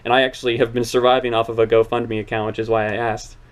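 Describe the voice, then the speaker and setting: A young man in his twenties hosts a relaxed talk and rambles earnestly, restarting his sentences often. His voice is smooth and clear but stays flat in pitch. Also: quick pace. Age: 20s. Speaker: channel host. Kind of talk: casual interview chat.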